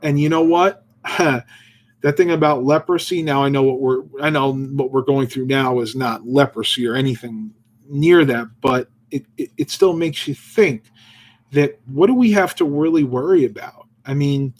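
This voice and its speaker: Man, livestream host, mid-pitched voice, devotional chat, reads scripture, loose talk with fillers. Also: moderate pace. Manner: reads Bible verses aloud, then muses loosely with fillers.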